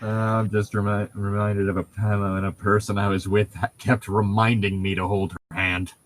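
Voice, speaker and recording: A man chatting casually as a co-host, critiquing in an exasperated, rambling way with halting rephrasing. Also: lowish voice, level tone, a little hiss or hum. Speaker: co-host reading and mocking a novel. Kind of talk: casual critical chat with read-aloud lines.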